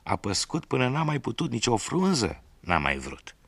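A man's voice: mid-range voice, wildly swooping pitch, vocal fry, a bit bright, husky, no echo, voice pushed up, heavily acted. A man voicing a careless young tailor's son who answers breezily. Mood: breezy.

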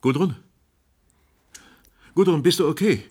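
A man's voice: a little high, lively intonation, unhurried, darkish tone, husky. An actor playing an earnest, sceptical radio presenter who presses his guests in a radio drama discussion. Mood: concerned. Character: insistent, sceptical male radio presenter moderating crisis debate. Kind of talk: radio play studio discussion.

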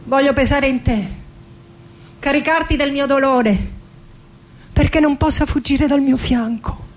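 An actress playing an anguished, lovesick poet in her forties in a theatrical radio monologue, intimate and dramatic, with long pauses. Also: high voice. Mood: intimate, sorrowful longing. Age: 40s. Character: anguished, lovesick 46-year-old Argentine woman poet facing death. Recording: music behind the voice. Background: acoustic guitar music.

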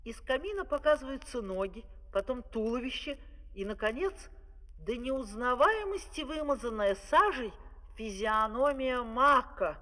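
A man's voice: very high voice, animated, a little slow, bright, forceful tone, full character voice. A man narrating a comic fairy-tale opera as its storyteller, in a scripted theatrical style. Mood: vivid, expository. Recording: vintage vinyl record, hall reverb.

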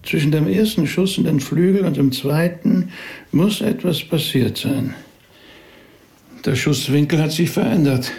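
A thoughtful rabbi in a radio drama, a man with a creaky, gravelly voice at medium pitch. He is measured and courteous, gently probing as he talks. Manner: measured, polite, questioning. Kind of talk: German radio drama acting.

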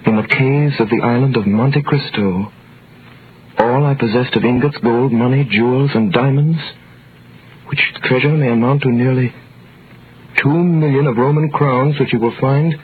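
A man's voice: medium pitch, a little hiss or hum, close to an everyday voice. Man acting an earnest prisoner, muffled vintage radio. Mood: puzzled, intent. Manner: measured, dramatic, literary delivery. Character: wrongly imprisoned young man, devoted, earnest pupil and narrator. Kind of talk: 1930s-40s radio drama narration and acting.

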